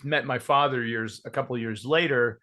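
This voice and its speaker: A man in his thirties from the American South chats at an easy, middling pitch. He rambles in loose, casual sentences, full of fillers and restarts, as he answers interview questions. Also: steady tone, a little fast, bright, forceful tone. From Georgia, United States. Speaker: interview guest, musician.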